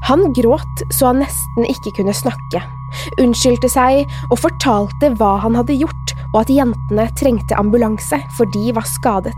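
A woman's voice: fairly high pitch, a little mellow, faint music behind. A woman, a podcast host reading a scripted true crime narration.

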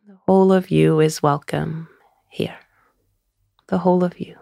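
Creaky-voiced woman guiding a gentle meditation. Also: deep voice, fairly even intonation, no room sound, clean studio sound. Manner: soothing, gentle, unhurried with long pauses. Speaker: meditation guide. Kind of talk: guided meditation.

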